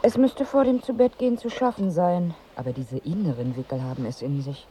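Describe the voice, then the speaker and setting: An actress in a radio drama playing a wry, exasperated wife on holiday, dry and bossy in manner. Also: female voice, lowish voice, a little fast, dark tone, a little hiss or hum, natural voice. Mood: dry, matter-of-fact. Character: wry, exasperated married woman on holiday seeking pregnancy.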